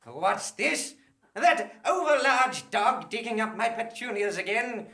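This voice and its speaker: An actor playing a horrible, domineering, indignant fiancé, pompous and menacing, in a live comedy radio play. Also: male voice, very high voice, animated, a little slow, fairly bright tone, heavily acted. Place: theatre stage, live performance. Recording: echoey theatre stage recording.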